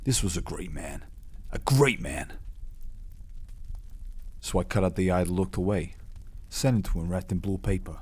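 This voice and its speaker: A man's voice: low-pitched, normal speed, long pauses, a little mellow, breathy edge, dry recording, pitched below the performer's own voice. A man playing a menacing, solemn and proud gang boss with a New York accent in a film-style monologue. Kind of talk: film monologue for a character demo reel.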